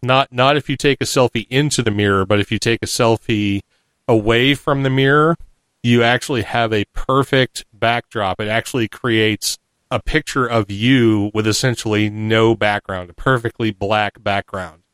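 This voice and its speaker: A man's voice: mid-range voice, steady tone, normal speed, a bit bright, smooth, dry recording. A man co-hosting a podcast, chatting casually in an easygoing, teasing way. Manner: easygoing, teasing, laughs lightly. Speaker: podcast co-host. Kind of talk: casual chat.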